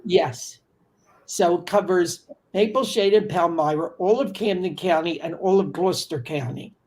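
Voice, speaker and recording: A man's voice: high voice, normal speed, a little mellow. Older man hosting a casual interview. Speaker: podcast host. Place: home study with bookshelves. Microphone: video call.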